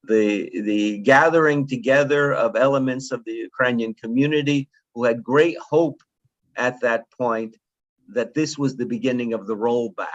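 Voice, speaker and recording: A man in his seventies giving closing remarks at a conference, speaking in a measured, digressive way with frequent fillers and restarts. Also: mid-range voice, no room sound. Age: 70s. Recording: dull lo-fi sound, dry.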